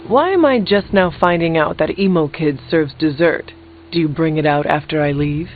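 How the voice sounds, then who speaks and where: An actor playing a snarky, irreverent hero in a superhero video game, with a wry, sarcastic manner. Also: male voice, a little high, moderate pace, slight background noise, close to an everyday voice. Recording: thin phone-like band, faint music behind.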